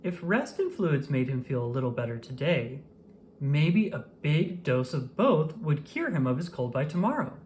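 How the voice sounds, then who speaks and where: A person reading a children's storybook aloud in an expressive, animated way with dramatic emphasis. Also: medium pitch, moderate pace, a little hiss or hum. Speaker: children's book reader.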